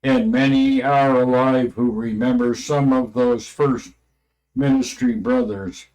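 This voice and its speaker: A man with a medium-pitched voice reads aloud from an old religious memoir. His delivery is very unhurried and halting, and he stumbles over words and names as he goes. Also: creaky, darkish tone, low-quality recording.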